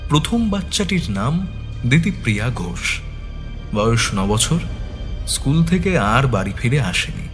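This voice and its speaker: A man narrating a dramatised detective audio story as the detective's attentive companion. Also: medium pitch. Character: attentive male companion of a detective, telling the case.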